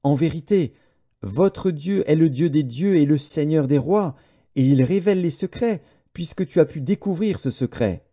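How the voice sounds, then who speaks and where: A man's voice: fairly high pitch, expressive, normal speed, warm tone. A man voicing a proud ancient king in a declamatory audiobook scripture reading. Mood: awed.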